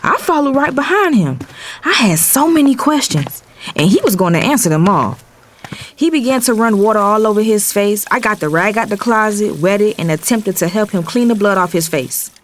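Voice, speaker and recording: An actress playing a protective, exasperated young woman in an audio drama, speaking in an expressive, emotive, slangy way as she narrates family turmoil. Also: medium pitch, moderate pace, a little hiss or hum, close to an everyday voice. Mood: tense storytelling.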